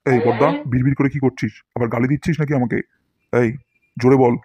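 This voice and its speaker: A man's voice: medium pitch, fairly even intonation, quick pace, warm tone, slightly rough, dry recording, lightly acted. A man voicing a strict, grumbling history teacher in a comedy cartoon, with a bossy, scolding manner. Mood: suspicious, grudging.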